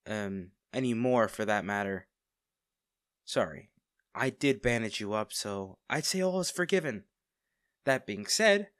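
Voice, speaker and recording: A man playing a smug, teasing villain with hidden fondness for a captive hero, in an intimate audio roleplay, coy and full of drawn-out pauses. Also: medium pitch, fairly bright tone, no echo, studio quality.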